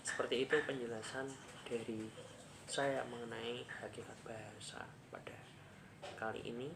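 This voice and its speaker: A man giving a measured lecture, listing points one by one. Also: medium pitch, steady tone. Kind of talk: scripted lecture narration. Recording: audible background noise.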